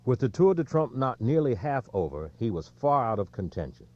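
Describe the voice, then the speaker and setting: A man's voice: mid-range voice, big pitch swings, moderate pace, darkish tone. A man reading sports non-fiction aloud as scripted audiobook narration. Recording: dull, lo-fi audio.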